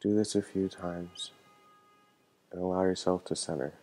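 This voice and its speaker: A man leading a guided meditation as a teacher, speaking in a calm, gentle and measured way. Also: sits low, soft background music.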